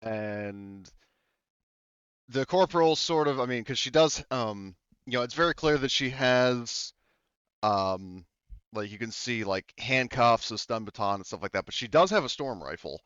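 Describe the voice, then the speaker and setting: A man running a tabletop game as game master, describing scenes with many pauses and ums over a voice call. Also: mid-range voice, animated, a bit bright, smooth, no echo, muffled recording.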